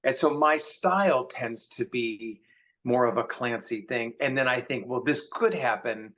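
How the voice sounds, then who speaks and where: A man's voice: mid-range voice, measured pace, fairly bright tone. A man speaking as a guest author in a casual panel conversation, thinking aloud and repeating for emphasis. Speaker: science fiction author, guest.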